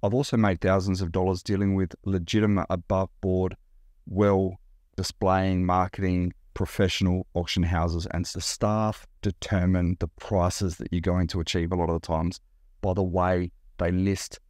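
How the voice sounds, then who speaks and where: A man in his forties with an Australian accent, talking straight to camera about reselling in a blunt, animated way. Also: deep voice, normal speed, no room sound, clean studio sound. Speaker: reseller vlogger. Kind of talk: direct-to-camera vlog talk. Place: indoor room by large window.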